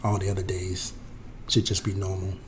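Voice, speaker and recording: A man with a cold-roughened, hoarse voice explaining an options trade in a halting way, full of fillers and restarts. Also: low voice, monotone, slight background noise. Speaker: trading tutorial presenter. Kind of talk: unscripted screen-share explanation.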